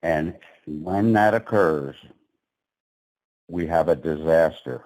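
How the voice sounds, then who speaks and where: A man with a very deep, mellow voice speaks at a public meeting. He is blunt and openly sarcastic about the agency. His pitch sits low throughout. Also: slow pace, low-quality recording. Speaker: petitioner at regulatory meeting.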